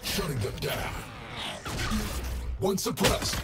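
An actor voicing a grim, menacing combat agent in a shooter game, with ominous, clipped delivery. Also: male voice, fairly high pitch, very animated, slow. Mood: clipped, commanding. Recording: game audio under loud noise.

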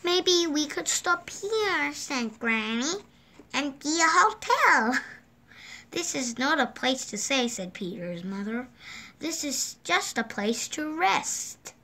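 A woman reading a children's picture book aloud at a gentle, unhurried pace, filmed indoors over the pages on a table, lifting her voice for the characters' lines. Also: high voice, sing-song. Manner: slow, gentle read-aloud pacing with lifted voices for quoted lines. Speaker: storybook reader. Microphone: phone or camera filming the book from above.

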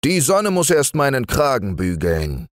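A man voicing a vain, fussy male bear in a storybook reading, raising his voice high and making it whiny. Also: wildly swooping pitch, unhurried, no room sound, studio quality.

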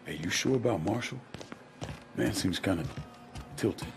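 An actor playing a tough, wary American ex-soldier in a video game, blunt, skeptical and profane. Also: male voice, lowish voice, lively intonation, a little slow, vocal fry, over music. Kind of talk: video game cutscene acting.

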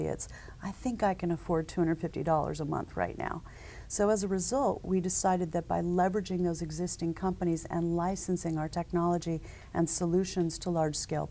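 A woman in her forties speaks as a seated conference interview guest. Her voice sits low with a gravelly edge and a dark, mellow color. She talks in a measured, businesslike way, filling gaps with ums and uhs. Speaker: company founder, interview guest. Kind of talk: conversational interview answers.

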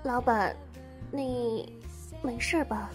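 A woman's voice: fairly high pitch, extremely slow, over loud music. A woman voice-acting an attentive, chatty bookstore employee in a Chinese audio drama. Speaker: voice actor.

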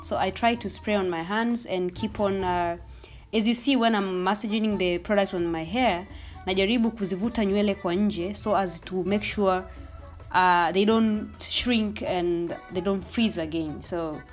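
A young woman whose first language is Swahili talks through a hair tutorial in an easy, chatty way, explaining each step as she goes. Her voice sits at a middle pitch, and faint music plays quietly behind her. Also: fairly even intonation, moderate pace. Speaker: hair vlogger.

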